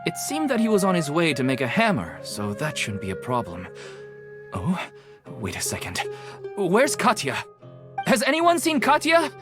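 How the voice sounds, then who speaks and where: A dutiful event organizer with a fairly low voice. Her pitch swings a lot as she talks, polite and eager to help. Faint game music sits behind her. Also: wildly swooping pitch, moderate pace. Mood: helpful. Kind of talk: video game voice acting.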